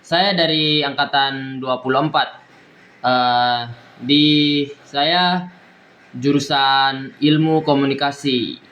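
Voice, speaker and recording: A young man, a university student, giving a recorded exam presentation in a halting way with many fillers and restarts. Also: mid-range voice, steady tone, lo-fi sound. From East Nusa Tenggara, Indonesia. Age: young adult.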